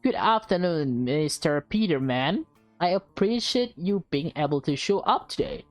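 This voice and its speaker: A woman's voice: on the low side, big pitch swings, unhurried, a little mellow, no echo, soft background music. A woman voicing a courteous, eager female real estate agent in a visual novel, with polite sales patter. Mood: polite, salesy.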